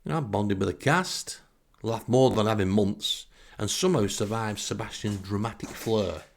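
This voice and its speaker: A man's voice: lowish voice, normal speed. A man with a Northern English accent telling comic first-person stories as a podcast host, with a wry, sarcastic manner. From northern England.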